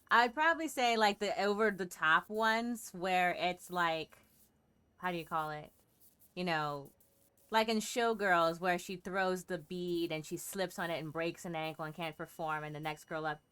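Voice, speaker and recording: A woman's voice: lowish voice, measured pace, fairly bright tone, smooth. A woman giving casual, chatty reaction commentary while playing a game, with theatrical asides.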